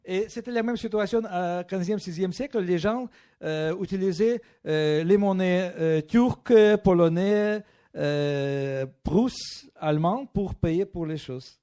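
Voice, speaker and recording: A man with a Russian accent, a guest author in an interview, speaking slowly and often stopping to search for French words. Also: high voice, mellow. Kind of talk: bookshop interview.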